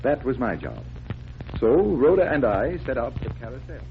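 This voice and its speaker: A man's voice: mid-range voice, big pitch swings, normal speed, creaky. A man playing a suave magician detective, polite, genial and unflustered, in 1940s radio drama style. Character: suave, composed adult male magician detective. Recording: dull vintage radio recording, music behind.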